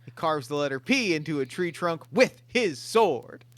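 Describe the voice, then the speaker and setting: A man narrating the stage directions for a table read in a crisp, bright voice, fairly high for a man. He reads them quietly and matter-of-factly. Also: expressive, normal speed. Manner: matter-of-fact, quiet reading.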